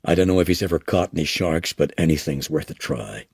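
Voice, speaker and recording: A man voicing a weary, guilt-ridden small-town police chief with a gruff manner. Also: extremely low pitch, animated, fairly quick, a little mellow, no echo, heavily acted. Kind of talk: audiobook character reading.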